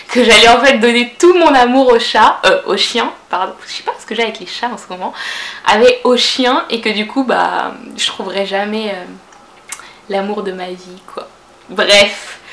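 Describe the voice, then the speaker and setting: A woman's voice: mid-range voice, fairly bright tone. Chatty young Frenchwoman in an echoey room. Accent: Parisian French. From France. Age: 20s. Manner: chatty, lots of fillers and self-corrections. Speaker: vlogger. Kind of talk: casual Q&A vlog.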